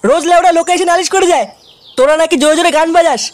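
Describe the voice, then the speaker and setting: Man voicing a high, rapid, bossy Bengali fellow. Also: heavy background noise. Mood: scolding. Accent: West Bengali. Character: bossy, foul-mouthed male neighbourhood elder brother. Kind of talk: comedy cartoon dubbing.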